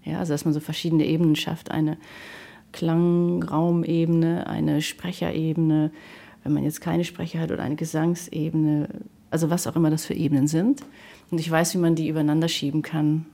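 A woman's voice: sits low, level tone, moderate pace, creaky, dark tone. A woman radio artist giving a reflective interview, thoughtfully piecing her phrases together.

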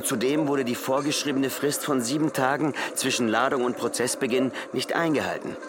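An actor playing a sober, factual court reporter, explaining in a measured way as in a live radio news report. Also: male voice, mid-range voice, fairly even intonation, lightly acted. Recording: noisy outdoor street ambience.

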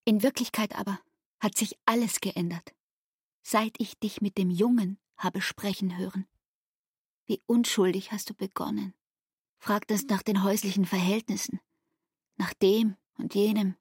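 An actress playing a wounded, earnest young barmaid in love in a literary radio drama, with breathy, hushed, intense and measured phrasing. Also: female voice, mid-range voice, steady tone, no room sound, clean studio sound. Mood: accusing.

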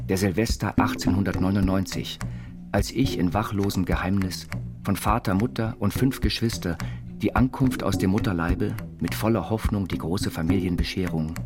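A man with a fairly low voice reads literary, autobiographical prose as a narrator. Prominent plucked guitar music plays along behind his voice.

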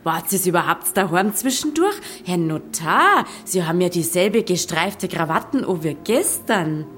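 A woman's voice: on the low side, sing-song, unhurried, fairly bright tone, slight background noise. A woman playing a stubborn, cheeky village innkeeper in an audio drama, speaking direct, bantering Bavarian dialect.

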